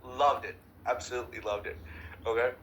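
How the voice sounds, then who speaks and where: A man hosts an online meeting in a bright, mid-pitched voice. He is effusive and quick to apologize as he introduces speakers. A faint noise sits under him. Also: steady tone, rough recording. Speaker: meeting host.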